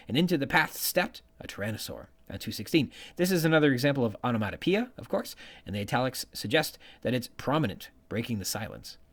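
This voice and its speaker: A man's voice: lowish voice, slightly rough. Guy doing a bookish podcast commentary, reads from notes, voice goes up and down a lot. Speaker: podcast host. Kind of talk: literary commentary reading from prepared notes, quoting passages.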